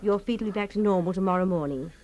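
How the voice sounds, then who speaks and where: A woman's voice: mid-range voice, rapid-fire, a little mellow, highs cut off, a little hiss or hum, natural voice. An actress playing a wife in a comedic audio drama, chiding and soothing her fussing husband in turn. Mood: consoling. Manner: teasing, chiding, falsely soothing. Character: bickering, placating wife, needling and dismissive of her husband.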